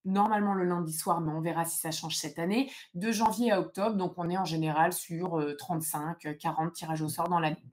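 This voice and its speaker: A woman hosting an informal live question-and-answer session, explaining things in a direct, emphatic way. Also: lowish voice. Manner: emphatic, direct, advising listeners.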